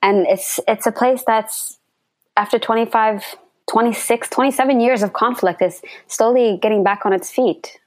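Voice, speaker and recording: A woman in her late twenties, born in Somalia and raised in Canada, speaking calmly and reflectively as a guest on a remote call. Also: a little high, normal speed, no echo. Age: late 20s. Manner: reflective, calm, occasional ums. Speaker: guest, activist. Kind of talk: casual interview.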